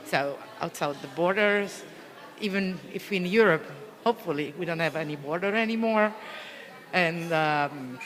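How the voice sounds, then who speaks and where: Italian policewoman, halting, echoey hall. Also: on the low side, fairly bright tone. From Italy. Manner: halting, searching for words, many restarts. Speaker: police officer. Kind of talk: interview answers, conference delegate.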